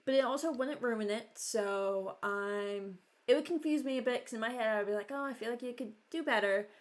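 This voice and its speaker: A woman's voice: a little high, moderate pace. A young adult woman chatting casually as a video creator, rambling and hesitant as she thinks aloud. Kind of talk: casual reaction chat.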